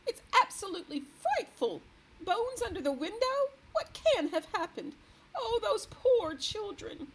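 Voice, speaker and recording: A woman's voice: sits high, very animated, moderate pace, voice pushed up, poor audio. A woman doing a genteel, alarmed queen. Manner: genteel, exclamatory, commanding. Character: distressed, imperious older female queen.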